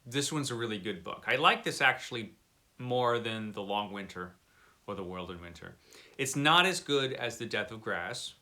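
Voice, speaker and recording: A man's voice: fairly low pitch, animated, moderate pace, bright, forceful tone. A man hosting a book channel, chatting casually to viewers and leaning on words for emphasis. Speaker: book review channel host. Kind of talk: casual book chat to camera.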